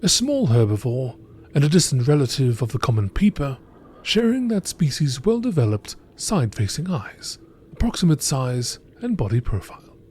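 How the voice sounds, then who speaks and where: A man reading game lore entries as scripted nature documentary narration, in a measured, formal, deliberate manner. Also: medium pitch, big pitch swings, darkish tone, a little hiss or hum.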